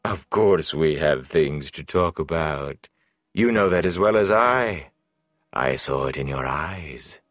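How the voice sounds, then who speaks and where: Sleek male fantasy villain, acted by a male narrator, deep, drawn-out delivery, pompous menace, audiobook. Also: expressive, fairly bright tone, no room sound, studio quality, full character voice. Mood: sleek, menacing. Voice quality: sleek, thick. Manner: drawn-out, coaxing then commanding. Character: sleek, menacing, self-important male servant of a dark lord.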